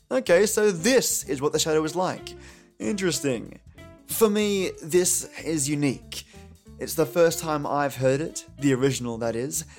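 Slightly high-voiced male podcast host, music behind. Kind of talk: casual podcast commentary.

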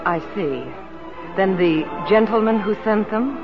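An actress playing an anxious, sharp-witted, proud woman patient at a sanatorium, in 1940s radio drama style. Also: mid-range voice, measured pace, natural voice. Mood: polite, curious. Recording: muffled 1940s radio broadcast, music behind.

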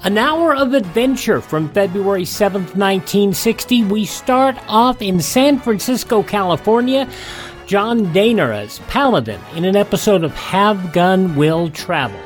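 A man's voice: sits high, expressive, unhurried, faint music behind. A man hosting a radio show, reading a scripted introduction. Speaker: host.

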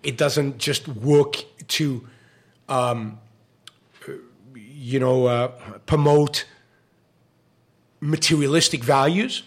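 A man's voice: medium pitch, steady tone, drawn-out words, pauses often, slightly rough. A man hosting a live-stream show, giving opinionated commentary with many fillers and restarts, his voice starting to go. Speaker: show host.

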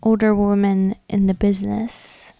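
Smooth-voiced, chatty American woman. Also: mid-range voice, flat delivery, a little slow, dark tone, dry recording, studio quality. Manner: informal, peppers talk with "like" and emphatic slang. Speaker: podcast co-host. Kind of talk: casual chatty retelling and commentary.

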